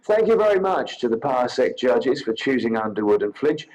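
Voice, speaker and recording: A man's voice: medium pitch, big pitch swings, moderate pace, a little mellow, rough recording. A man delivering a prepared, recorded acceptance speech as a podcast author.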